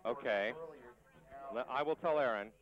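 A man's voice: mid-range voice, normal speed. A man in off-air production talk in a TV control room, speaking in terse, clipped phrases. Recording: dull audio, busy control room chatter.

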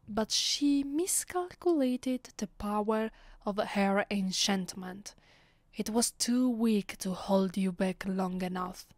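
A woman with an Italian accent voicing a haughty, commanding vampire mistress in a soft, often whispery ASMR roleplay, speaking with imperious deliberation. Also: mid-range voice, no echo. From Italy. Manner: imperious, deliberate, with lingering pauses. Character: haughty, commanding female vampire mistress addressing mortal slave. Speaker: voice actress. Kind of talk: ASMR roleplay, breathy slow monologue.

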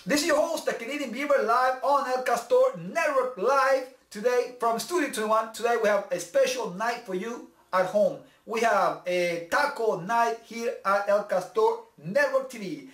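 A man's voice: very high voice, measured pace, few pauses, fairly bright tone. A man in his thirties hosting a homemade cooking show in a small kitchen, with showman patter and switching between two languages. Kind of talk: bilingual cooking vlog. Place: small home kitchen, indoors. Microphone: camera mic, several feet away. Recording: echoey kitchen with faint music.

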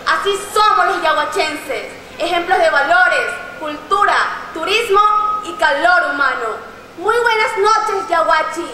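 A woman's voice: very high voice, expressive, unhurried, slight background noise. An eighteen-year-old woman introducing herself as a pageant contestant in a rehearsed, proud delivery at a stand microphone on a large night stage. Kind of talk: formal self-introduction on stage. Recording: echoey venue over loudspeakers.

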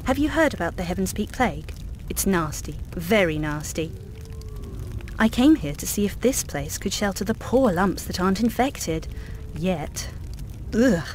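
A woman playing a brisk, bossy, self-assured adventuress in a video game, with a wry, commanding manner. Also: medium pitch, expressive, darkish tone, natural voice. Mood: wry, businesslike. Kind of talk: video game character acting. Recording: game noise behind.

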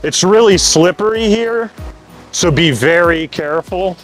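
A man's voice: sits high, unhurried. An American man in his thirties hosting a casual hiking vlog, talking as he goes outdoors. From United States. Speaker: vlog host, hiking guide. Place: outdoors on forest trail by waterfalls. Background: clear music.

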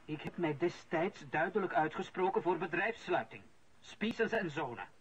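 An actor playing an indignant, self-righteous civil servant who feels wrongly accused, in a radio play. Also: male voice, fairly high pitch, animated, moderate pace, lightly acted. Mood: indignant, defensive. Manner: agitated, self-righteous, protesting. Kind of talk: Dutch-language radio drama acting. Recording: muffled, noisy old radio recording.

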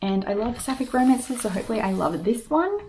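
A young woman chatting to camera about her reading plans at home, upbeat with quick asides. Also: a little high, animated, dark tone, slight background noise. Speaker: book vlogger. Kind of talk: casual chat to camera. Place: home room with bookshelves. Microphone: camera on desk at arm's length.

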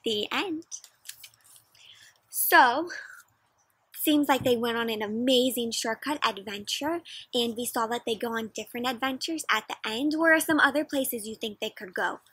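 A young woman reads a picture book aloud to children in a high, bright voice. Her delivery is expressive and interactive, often turning to question the listener, and her voice has a slightly husky, breathy edge. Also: moderate pace, dry recording, low-quality recording. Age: young adult. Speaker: children's story reader. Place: outdoors in a backyard with play structure.

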